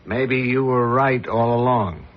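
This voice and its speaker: An actor playing a dogged, brooding police inspector with a deliberate, probing manner, in 1970s radio drama style. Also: male voice, mid-range voice, steady tone, close to an everyday voice. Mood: brisk, insistent. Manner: deliberate, probing, clipped questions. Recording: dull lo-fi radio drama recording.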